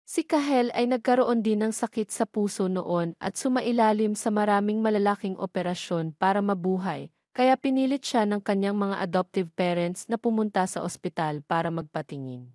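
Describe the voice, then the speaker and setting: A woman's voice: medium pitch, normal speed, darkish tone, no echo. Filipino woman narrating, dry close recording. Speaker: story narrator. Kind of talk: scripted narration of a romance drama.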